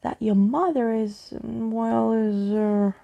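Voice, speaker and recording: Woman voicing a pompous, creaky storybook skeleton gentleman. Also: a little high, steady tone, slow, lo-fi sound, voice pushed up. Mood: politely hesitant. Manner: pompous, old-fashioned, theatrical wording. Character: courtly, eccentric male living skeleton with grandiose speech.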